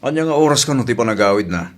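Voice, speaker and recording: A man's voice: mid-range voice, lively intonation, very fast, natural voice. A Filipino-accented man playing a stern, matter-of-fact man of the house in a comedy drama. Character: reserved, no-nonsense male employer, man of the house. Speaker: actor.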